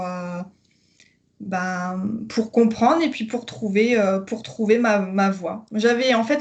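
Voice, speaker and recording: French woman in her 30s, kinda high voice, lots of euh and restarts, talking over a video call. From northern France. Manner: hesitant, many fillers and restarts. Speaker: coaching client giving a testimonial. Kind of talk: conversational interview.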